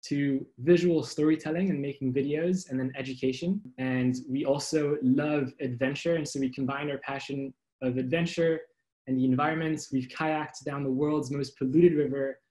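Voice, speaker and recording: A man's voice: mid-range voice, steady tone, normal speed, mellow, poor audio. A man in his twenties from Indonesia, fluently introducing himself as a panelist on a video call. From grew up in Indonesia. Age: 20s. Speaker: panelist, environmental activist. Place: light room at home, indoors.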